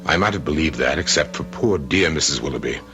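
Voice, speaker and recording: An actor playing a haunted, self-doubting former soldier in a radio mystery, brooding and dramatic in delivery. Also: male voice, extremely low pitch, lively intonation, quick pace, music in the background. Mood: brooding dread. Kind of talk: first-person radio drama narration.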